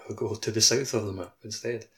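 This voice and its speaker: A man's voice: on the low side, steady tone, a little fast, a bit bright. A man speaking informally as a co-host on a gaming podcast. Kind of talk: casual chat, gaming podcast. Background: background music.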